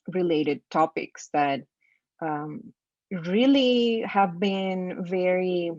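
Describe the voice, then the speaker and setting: A woman from Costa Rica with a Spanish accent, a design professor speaking thoughtfully and haltingly on a video call panel. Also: on the low side, dry recording. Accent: Costa Rican Spanish. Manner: thoughtful, halting, many fillers and restarts. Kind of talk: roundtable discussion. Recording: dull lo-fi video call audio.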